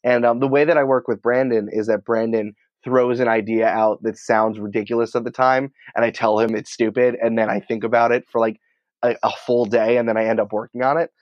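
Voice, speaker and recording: A man's voice: mid-range voice, little pitch movement, brisk, no room sound, lo-fi sound. A man speaking as a podcast guest in a casual, emphatic, opinionated conversational way. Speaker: podcast producer and writer, interview guest.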